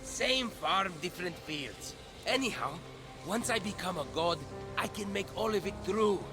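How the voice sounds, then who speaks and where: A smug young soldier in a game cutscene. His voice is high for a man, glib and sarcastic, quick to turn defensive, with music behind it. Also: lively intonation. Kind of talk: video game cutscene acting.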